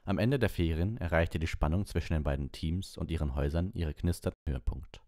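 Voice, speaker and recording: A man's voice: very deep voice, a little fast, darkish tone, no echo, clean studio sound. A man reading an audiobook as narrator, in scripted storybook narration.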